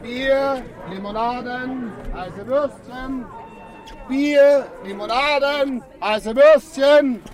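An actor playing a polite, easygoing refreshment vendor at a station in a radio drama, given to drawn-out calls and small talk. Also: male voice, very high voice, a loud crowd, full character voice. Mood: hawking. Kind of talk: 1960s German radio drama acting.

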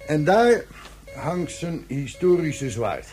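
A man's voice: a little high, big pitch swings, slow pace, a little mellow, soft background music. An actor playing a wily, mocking man in a science fiction radio drama, talking in a sardonic, casually taunting way. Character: wily, mocking man outwitting an armed soldier.

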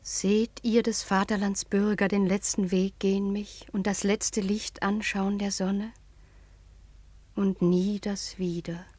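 An actress playing an earnest young princess in a German radio play, with a theatrical manner. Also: female voice, mid-range voice, level tone, slow, darkish tone, natural voice. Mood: solemn, declaiming. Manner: solemn, theatrical recitation. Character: earnest young princess practising tragic declamation.

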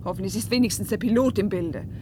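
Eine Schauspielerin spielt im Hörspiel eine empörte, scharfzüngige Frau, die beharrlich und sarkastisch Antworten fordert. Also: female voice, fast, natural voice. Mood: indignant. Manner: insistent, sarcastic, formal. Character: indignant, sharp-tongued woman demanding answers about her abduction. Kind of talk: German radio play acting. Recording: radio play with clear rumbling noise.

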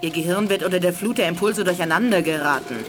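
A woman's voice: on the low side, quick pace, lightly acted. An actress playing a proud, condescending alien woman in a 1980s German radio play. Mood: disdainful. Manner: cool, condescending. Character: proud, haughty, sceptical female alien commander.

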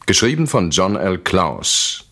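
Guy announcing a radio drama, formal scripted read, deepish voice. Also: big pitch swings, takes it slowly, a bit bright.

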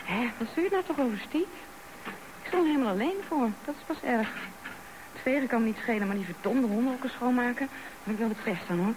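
A woman's voice: on the high side, animated, fast, close to an everyday voice. An actress playing a candid, blunt wife in her thirties in a radio drama. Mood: matter-of-fact, grumbling. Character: younger wife of thirty-five, candid and indignant. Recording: background noise on radio drama recording.

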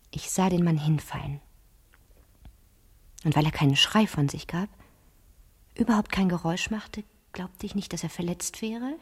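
An actress playing a cunning, self-assured fifteen-year-old girl in a radio play, telling her story in a theatrical, deliberate and breathy way. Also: sits low, full character voice. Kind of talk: 1970s German radio play monologue.

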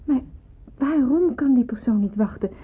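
An actress playing an anxious, questioning young nurse in a Dutch wartime radio play, speaking hesitantly and deferentially. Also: female voice, sits high, expressive, normal speed, slightly rough, heavily acted. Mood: distraught, doubting. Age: young adult. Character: anxious, questioning seventeen-year-old nurse in wartime hiding. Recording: muffled, phone-like old radio recording.

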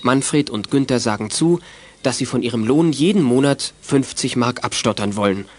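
A man's voice: medium pitch, moderate pace. A man narrating a children's radio drama in scripted storytelling style.

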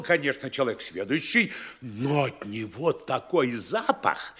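A man's voice: medium pitch, fairly bright tone, breathy edge, full character voice. An actor playing a pompous middle-aged town mayor who lectures and instructs his officials in a radio play. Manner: bossy, instructing, mock-scolding. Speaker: town governor. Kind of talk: studio radio play acting.

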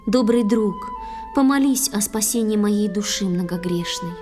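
A woman playing a gentle, humble young water-spirit bride full of tender sorrow in a poetic verse audio drama. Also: mid-range voice, moderate pace, music in the background, lightly acted. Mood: humble pleading.

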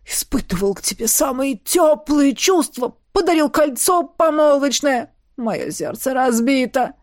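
A woman voicing a pompous, easily wounded baron in an audiobook, with drawn-out theatrical exclamations. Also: sits high, big pitch swings, no echo, studio quality. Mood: indignant, hurt. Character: pompous round-headed baron man, bewildered, wounded and indignant.

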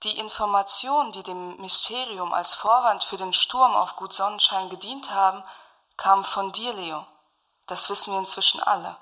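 A bright-voiced, sardonic activist. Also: female voice, mid-range voice, steady tone, moderate pace, low-quality recording. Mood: bitter, sardonic. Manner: pointed, dry sarcasm. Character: bitter, sardonic female former commune activist. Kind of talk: German radio play dialogue on a phone call.

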